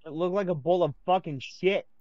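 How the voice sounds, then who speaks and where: A man's voice: sits high, brisk, darkish tone, highs cut off. A man commenting over a stream, sarcastic and irritated and swearing constantly. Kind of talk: profane reaction commentary.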